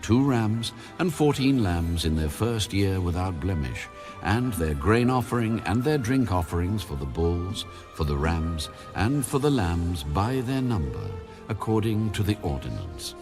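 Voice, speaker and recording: A man with a very deep voice reads scripture in a solemn, measured way. His pitch rises and falls expressively as he narrates, and music plays clearly behind him. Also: sing-song. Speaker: audio Bible narrator. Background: background music.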